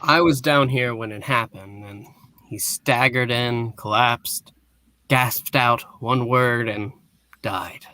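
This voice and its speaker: A man playing a superhero teammate in a deadpan amateur comic-book reading. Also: medium pitch, slow pace, no room sound.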